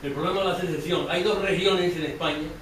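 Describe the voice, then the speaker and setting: A man's voice: fairly high pitch, steady tone, fast talker, a bit bright. A man asking an informal question from the audience at a public talk. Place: event room, far from mic. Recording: very echoey, distant mic, clear noise.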